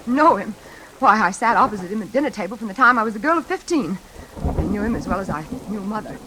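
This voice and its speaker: An actress in a 1940s radio suspense drama playing an anxious, frightened woman in her thirties, speaking haltingly and dramatically. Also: medium pitch, expressive, noisy background, natural voice. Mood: bitter, insistent. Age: 30s. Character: frightened single woman haunted by her mother's killer. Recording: old radio recording, faint music behind.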